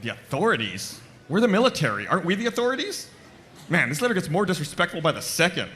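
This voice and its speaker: A man's voice: high-pitched, sing-song, quick pace, fairly bright tone. A man playing an earnest, deferential but doubting soldier in a comedic table read, questioning his superior politely. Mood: puzzled.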